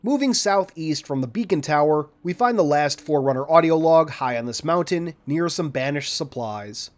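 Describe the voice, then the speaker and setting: A man reading a scripted video game walkthrough in a measured, orderly, instructional way. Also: fairly high pitch, lively intonation. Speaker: video game guide narrator. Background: background music.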